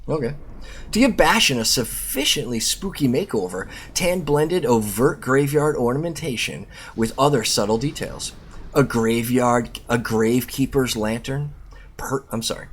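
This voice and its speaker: A man's voice: medium pitch, lively intonation, normal speed, slight background noise. A man hosting a video, reading an article aloud in an informal way and breaking off for his own sweary asides. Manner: informal, self-interrupting, sweary. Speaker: YouTube host and reviewer.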